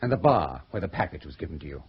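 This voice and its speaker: A man's voice: low-pitched, very animated, fast talker, husky, heavily acted. An actor playing a stern interrogator in a radio drama, curt and pressing as he questions his subject. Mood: probing. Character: stern, probing male interrogator. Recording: muffled radio drama recording.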